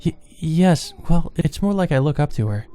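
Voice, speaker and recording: Woman acting a timid, stammering high-pitched girl. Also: lively intonation, normal speed, warm tone. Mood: shy. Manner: stammering, hesitant. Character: shy, easily flustered, timid young girl.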